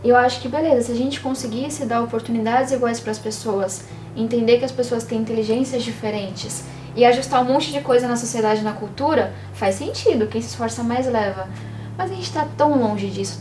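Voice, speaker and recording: A woman's voice: sits high, roomy sound, recorded from a distance, noisy background. A young Brazilian woman from São Paulo answering interview questions casually, with many "sabe" and "tipo" fillers. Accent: Brazilian Portuguese. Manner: quick, animated, fills with "sabe" and "tipo". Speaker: guest.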